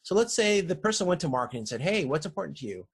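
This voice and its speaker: Husky male lecturer, halting delivery. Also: fairly high pitch, big pitch swings. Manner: halting, self-correcting, explanatory. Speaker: university lecturer. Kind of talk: informal slide lecture.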